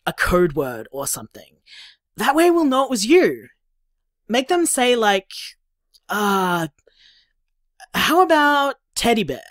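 A woman's voice: fairly high pitch, no echo, studio quality. A woman with an Australian accent playing an upbeat, teasing young partner in a naturalistic audio drama, breezy and chatty. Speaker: podcast drama actor.

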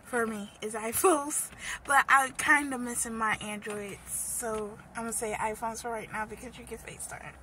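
A nineteen-year-old woman co-hosting a casual vlog from inside a car, playful, chatty and quick to giggle, filmed on a phone held at arm's length. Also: a little high, steady tone, bright, forceful tone, slight background noise, low-quality recording. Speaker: co-host, girlfriend. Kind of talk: casual chat. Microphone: phone camera at arm's length.

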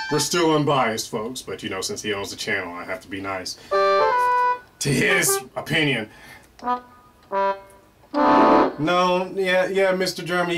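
Excitable man hosting over loud guitar music. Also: fairly high pitch. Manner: playful and excitable, reacting aloud to an unseen guest with many "oh"s and laughs. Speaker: host of a question and answer show. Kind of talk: animated comedic Q&A chat. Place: indoor room before a pale curtain. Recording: distant mic with loud guitar music behind.

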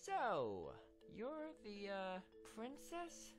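A woman's voice: mid-range voice, huge pitch swings, drawn-out words, faint music behind, exaggerated voice. A woman playing an easygoing young male unicorn in a cartoon audio drama, casual and hesitant. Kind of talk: animated audio drama acting.

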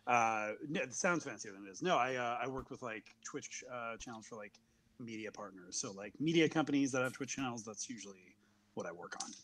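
A man's voice: mid-range voice, lively intonation, a little fast, a bit bright, a little hiss or hum. A man chatting casually and jokingly as a guest player on a livestream. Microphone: video call.

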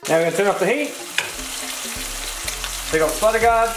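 A man's voice: high-pitched, lively intonation, a little fast. A young man co-hosting a home cooking video, giving casual step-by-step recipe instructions with playful banter. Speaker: cooking show co-host. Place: home kitchen. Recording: echoey kitchen with background music.

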